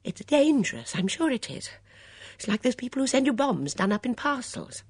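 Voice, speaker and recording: A woman voicing a fussy, anxious elderly spinster who stammers and frets in a high, plaintive voice. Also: sing-song, normal speed, slightly rough, no echo, studio quality, full character voice. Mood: frightened.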